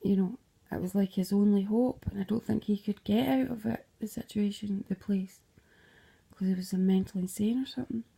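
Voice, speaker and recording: Scottish woman, gravelly low-key voice, rambling and sweary, drops to whispers. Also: steady tone, a little fast, dark tone. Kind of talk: casual dream retelling to camera.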